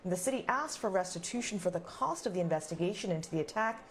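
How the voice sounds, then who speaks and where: A woman's voice: lowish voice, expressive, fast, slight background noise. A woman working as a news anchor, presenting and interviewing in a live broadcast style.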